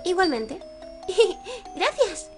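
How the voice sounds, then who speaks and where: A young man voicing a cheerful, eager little girl from a horror game, excitable and bright. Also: very high voice, very slow, over music, pitched above the performer's own voice, heavily acted.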